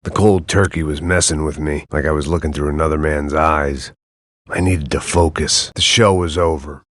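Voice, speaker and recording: An actor playing a world-weary, sardonic middle-aged ex-cop, delivering a dry, deadpan hard-boiled game monologue. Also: male voice, rumbling low, normal speed, no echo. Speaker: game protagonist.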